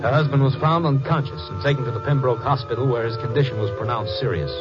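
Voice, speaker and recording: A man's voice: mid-range voice, quick pace. An American man giving scripted, matter-of-fact narration for a documentary-style radio drama. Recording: muffled old radio recording with music.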